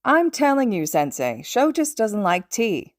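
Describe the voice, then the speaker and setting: A woman's voice: mid-range voice, big pitch swings, a little slow, no room sound, clean studio sound, voice pushed up. A woman voicing an easygoing, good-humoured man in a story, talking in a casual, teasing, resigned way. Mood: exasperated. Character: easygoing blond adult man, good-humoured former student.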